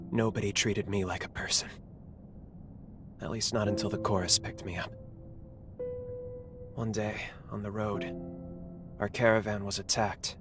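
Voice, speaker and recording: An actor voicing a guilt-ridden, reckless young male fighter, speaking earnestly and quietly. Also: on the low side. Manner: earnest, subdued, confessional. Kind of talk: video game voice acting. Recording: game cutscene with music underneath.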